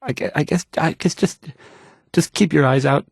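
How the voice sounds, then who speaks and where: A man's voice: on the high side, normal speed, creaky, breathy edge, no echo, studio quality. An actor playing a nervous, awkward young male radio DJ in a game, stammering and hesitating through the news, rambling and unsure of himself. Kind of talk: fumbled radio news report in a video game.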